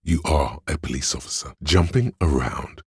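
An actor voicing a cool, analytical inner voice of logic in a detective game, narrating in a measured, dryly wry way. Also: male voice, extremely low pitch, slightly rough, no echo, studio quality, full character voice. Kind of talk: scripted second-person game narration.

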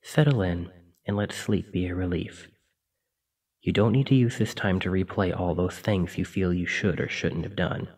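Guy as a kindly sleep paralysis demon, low husky voice, soft encouraging asmr chat, bit away from the mic. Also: far from the mic. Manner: gentle, reassuring, informal. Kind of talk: ASMR roleplay, soft reassuring monologue.

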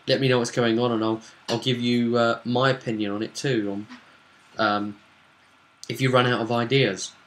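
A British man in his thirties casually recounting a tabletop game to camera in a halting, unscripted way, in a small room at home. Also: medium pitch, little pitch movement, fairly bright tone. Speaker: game master recapping a tabletop role-play session.

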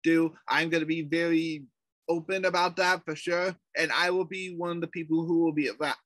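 An American man with a slightly higher voice stumbles and restarts as he chats. His recording is dry and close, with little room sound. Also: steady tone, normal speed, a bit bright, dry recording. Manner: hesitant, restarts a lot. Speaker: co-host, writing lead. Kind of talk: casual video call update.

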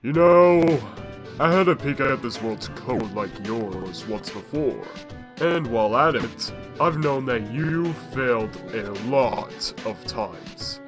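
A man's voice: medium pitch, animated, slow, music in the background. A man voicing a smug, unhinged glitchy skeleton destroyer in a comic dub, with a drawling, mocking, theatrical delivery. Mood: taunting, irritated.

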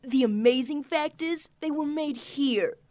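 An actress playing an easygoing, chirpy radio co-host in an audio drama. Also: female voice, sits high, a little slow, a little mellow. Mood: upbeat.

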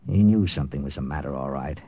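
A man's voice: very deep voice, quick pace, mellow, breathy edge, muffled recording, full character voice. An actor playing a tough, terse wartime secret agent captain in a clipped, dramatic 1940s radio style, narrating his mission. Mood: tense, grim. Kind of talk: 1940s radio acting, hard-boiled first-person narration. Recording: old radio recording with music.

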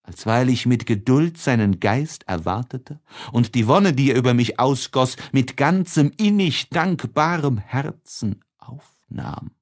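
A man playing a tormented, lovesick young man in an audiobook of a classic novel, emotive and halting, with heavy pauses. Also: mid-range voice, expressive. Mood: anguished, pleading. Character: tormented, lovesick young man writing despairing diary letters.

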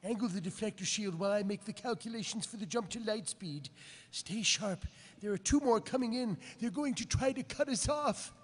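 A man's voice: high-pitched, moderate pace, slightly rough. A man voicing a brash, hammy cartoon space smuggler in a live comic script reading. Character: swaggering high-voiced cartoon take on a macho space smuggler pilot. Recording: echoey convention hall PA.

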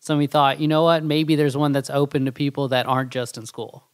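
A man's voice: a little high, steady tone, fairly quick, clear, resonant tone, no echo, clean studio sound. A man answering podcast questions in a plain, matter-of-fact way. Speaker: kombucha company co-founder. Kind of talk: casual podcast interview.

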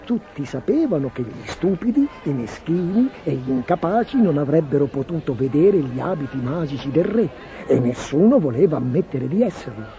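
A man's voice: a little high, big pitch swings, normal speed, dark tone. A man narrating a scripted fairy tale for children as a storyteller. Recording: dull, muffled lo-fi track. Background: music.

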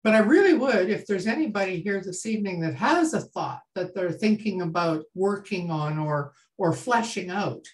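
A woman's voice: mid-range voice, expressive, normal speed, few pauses, low-quality recording. A woman, leading an informal workshop over a video call. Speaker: workshop leader. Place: small home room, indoors. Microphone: video call computer mic.

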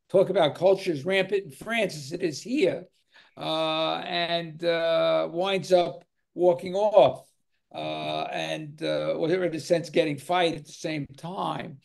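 A man's voice: a little high, creaky. An older man moderating a film discussion on a video call, talking with many fillers. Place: home room with virtual cinema backdrop.

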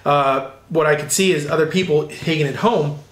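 A man in his thirties with a fairly high voice hosts a casual interview, eagerly summing things up. The sound is echoey, like a workshop, with faint noise and clicks behind him. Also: normal speed. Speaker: interviewer, host. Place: indoor workshop with hard walls. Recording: roomy echo, faint background noise, clicks.

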